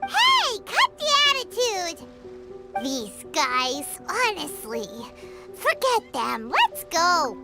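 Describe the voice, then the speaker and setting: Tiny fairy sidekick, very high squeaky voice, animated, childlike, feisty, video game, music behind. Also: female voice, extremely slow, exaggerated voice. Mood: indignant. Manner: talks of self in third person. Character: tiny perky childlike female fairy companion, feisty, loyal. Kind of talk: video game character acting.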